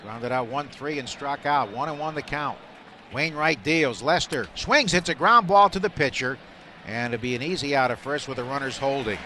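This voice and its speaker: A man's voice: medium pitch, normal speed. A man calling a baseball game live on the radio as the play-by-play announcer, from a booth at the ballpark. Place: broadcast booth at an outdoor ballpark. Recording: steady background noise of ballpark. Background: stadium ambience.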